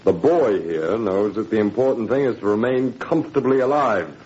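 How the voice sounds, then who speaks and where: An actor playing a smug, menacing Nazi official with sneering, mock-courteous manners in 1940s radio drama style. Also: male voice, on the low side, moderate pace, a little mellow, close to an everyday voice. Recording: muffled, hissy 1940s radio recording.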